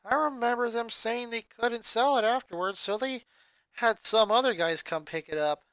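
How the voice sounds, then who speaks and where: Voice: mid-range voice, moderate pace, a bit bright, clear, resonant tone, no room sound, voice pushed up, natural voice. A fan reader voicing a bubbly, airheaded blonde teenage girl with valley-girl talk. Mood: gushing.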